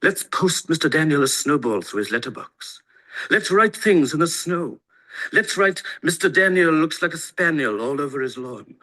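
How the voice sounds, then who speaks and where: A mischievous young boy from a storybook, as played by a man narrating. He is eager and playful, brimming with imagination. His lines come as excited make-believe between friends. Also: on the high side, normal speed, pitched above the performer's own voice. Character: imaginative, mischievous young boys out playing at Christmas.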